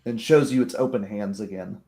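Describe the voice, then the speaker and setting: A man narrating a tabletop roleplaying game as game master, in measured, hesitant phrases. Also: lowish voice, warm tone.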